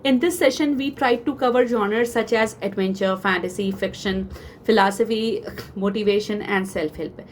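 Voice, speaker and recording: A woman with an Indian accent speaking as a teacher, giving a measured, instructive presentation to students. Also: medium pitch, a little hiss or hum. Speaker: teacher at a coaching institute. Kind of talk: educational book recommendations, semi-scripted presentation.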